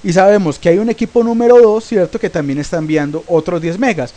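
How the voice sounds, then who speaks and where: A man's voice: high-pitched, quick pace, dark tone. A man with a sore throat, hosting a recorded lesson on networks. He keeps asking questions and then answering them, with frequent hesitant "eh" sounds. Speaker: network tutorial host.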